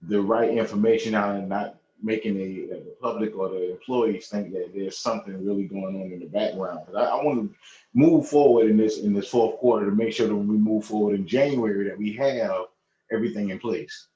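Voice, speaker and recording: A man addresses a formal meeting as one of its board members. His speech is halting and repetitive, and he works to conciliate. His voice sits on the low side, and the sound is roomy and somewhat dull. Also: steady tone, dark tone. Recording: muffled, echoey online meeting audio.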